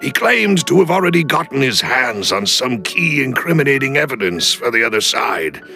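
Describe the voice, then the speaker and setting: A loyal older gentleman retainer in a game drama, grave yet gentle. His voice is bright and creaky, with wide swings of pitch and formal, deliberate phrasing, over faint music. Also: heavily acted. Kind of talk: dramatic video game cutscene acting.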